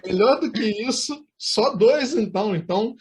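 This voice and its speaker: High-pitched, husky Brazilian male host. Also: animated, moderate pace. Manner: formal, florid wording. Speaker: interview host. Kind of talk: hosting an interview.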